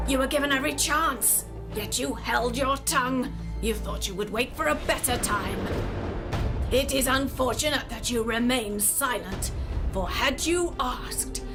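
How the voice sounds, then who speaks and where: A woman playing a bitter, hideous hag in a theatrical drama, scolding and declaiming prophecies of doom. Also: high voice. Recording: music under the voice.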